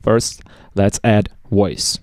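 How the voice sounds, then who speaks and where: A man presenting a step-by-step software tutorial over a screen recording. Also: lowish voice, extremely slow.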